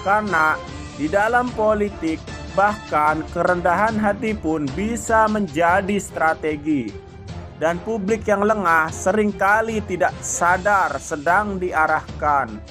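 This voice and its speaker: A man delivering scripted political commentary narration in a measured, deliberate way. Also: sits high, pushed and bright. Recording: faint background music.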